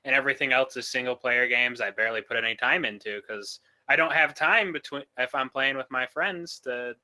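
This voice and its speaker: A man speaks as a podcast guest in a sharp, very bright voice at a middling pitch. His talk is casual and wry, full of joking exaggeration. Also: fairly quick. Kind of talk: casual chat.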